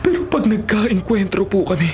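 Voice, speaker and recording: An actor playing a vengeful, unrepentant young son in a Filipino radio drama, intense, bitter and resolute. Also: male voice, high-pitched, lively intonation, normal speed, noisy background.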